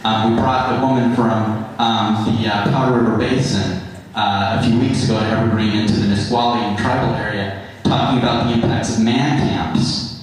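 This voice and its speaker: An American man from Utah giving unscripted testimony at a public hearing, halting and earnest as he rambles through his points. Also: lowish voice, fairly even intonation. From eastern Utah, United States. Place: large indoor hearing hall. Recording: very echoey hall, public address sound.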